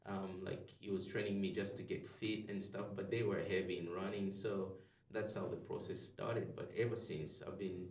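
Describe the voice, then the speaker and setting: A low-voiced man from Zambia chats loosely as a podcast guest, rambling with frequent ums and restarts. His voice has a gravelly fry. He sits back from the mic in a reverberant room. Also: little pitch movement, moderate pace, a little mellow, distant mic. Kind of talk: casual interview chat.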